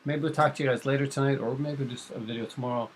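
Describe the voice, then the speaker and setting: A man's voice: mid-range voice, fairly even intonation, fast talker, a little hiss or hum, poor audio. A middle-aged man hosting a casual chat vlog, rambling enthusiastically in a home kitchen. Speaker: vlog host. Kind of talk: casual chat about comics and horror films.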